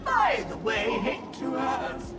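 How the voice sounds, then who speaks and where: A high, wildly swooping gatekeeper declaims very slowly and grandly. The bossy, theatrical game character sounds over loud music. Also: female voice, very animated, full character voice. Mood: wary, bossy. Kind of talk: video game cutscene acting.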